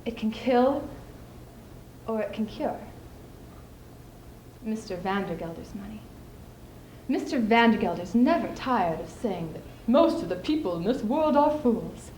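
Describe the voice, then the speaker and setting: A young woman performing a stage monologue as a spirited, scheming widow, speaking emphatically with deliberate theatrical pauses. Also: mid-range voice, lively intonation, a little mellow, natural voice. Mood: tired, pleading, then earnest, reflective. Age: young adult. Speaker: student speech team performer. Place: indoor school showcase stage. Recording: echoey room, clearly audible background noise.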